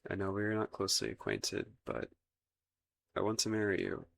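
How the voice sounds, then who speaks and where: Man playing a flustered low-voiced crown prince, gravelly. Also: steady tone, moderate pace, a little hiss or hum. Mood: earnest, nervous. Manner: hesitant, stammering, formal old-fashioned courtly phrasing. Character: shy, flustered, lovestruck young crown prince, courtly and awkward. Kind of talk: romantic roleplay ASMR audio acting.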